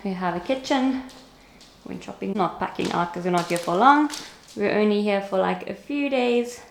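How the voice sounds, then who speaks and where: A woman's voice: medium pitch, normal speed, creaky, a little hiss or hum. A young adult woman with a South African accent, chatting and narrating casually as a travel vlogger. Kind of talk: casual vlog narration and chat. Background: music.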